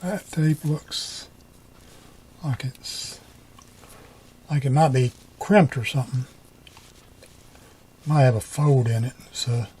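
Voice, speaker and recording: A man's voice: mid-range voice, slow, long pauses, warm tone, breathy edge. A middle-aged man giving a casual hands-on tutorial, talking in a folksy, colloquial way and half muttering to himself as he works. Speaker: repair hobbyist.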